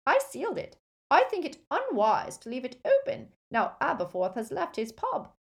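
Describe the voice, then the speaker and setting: A woman reader plays a proud elderly witch with a clipped, crisp delivery and a sharply raised, shrill pitch. She sounds commanding and brisk, as in a storybook audiobook. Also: wildly swooping pitch, full character voice. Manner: crisp, imperious.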